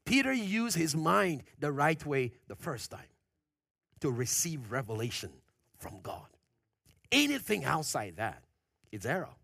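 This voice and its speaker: A man preaching a sermon, pressing his points with questions to the congregation, loud at the peaks and dropping low and quiet at phrase ends. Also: big pitch swings, unhurried, gravelly, long pauses, slightly rough, dry recording, studio quality. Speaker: pastor.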